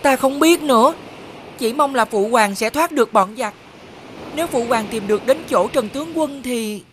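Earnest child prince played by a woman, high and dutiful, animated folk tale. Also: moderate pace, slight background noise, natural voice. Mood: worried, dutiful. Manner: polite, childlike. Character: earnest young boy prince, polite and dutiful. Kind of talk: animated fairy tale dubbing. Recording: background music under the voice.

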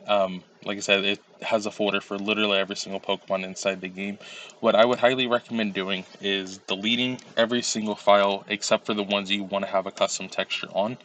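A man with a low, fairly monotone voice, stuffy and slightly nasal from a lingering cold. He talks through a computer tutorial at an easy pace, filling pauses with ums and uhs, over a faint hiss. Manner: relaxed, step-by-step, with frequent um and uh. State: recovering from a cold, a little nasal. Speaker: tutorial creator. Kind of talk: screen-recorded software tutorial.

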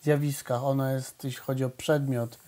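A man's voice: mid-range voice, flat delivery, moderate pace, warm tone. A middle-aged man interviewing a guest in a TV studio, asking long, winding questions with many fillers.

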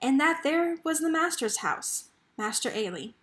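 An earnest, protective young soldier boy from a storybook, read by a woman. He talks in folksy country speech, pitched fairly high. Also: very animated, unhurried, fairly bright tone, exaggerated voice. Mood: quiet, earnest.

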